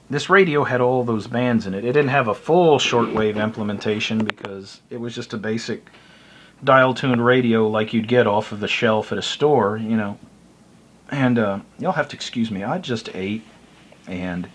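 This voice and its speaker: A middle-aged man with an American accent gives a casual, conversational, rambling explanation to camera, recorded indoors close to the mic. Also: on the low side. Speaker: hobbyist vlogger. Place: small room indoors by a curtained window.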